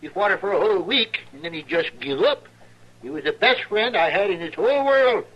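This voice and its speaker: An actor playing a simple, earnest, talkative drifter with a husky rural Western American drawl in an old radio western. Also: male voice, high voice, big pitch swings, a little fast, exaggerated voice. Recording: muffled old radio broadcast.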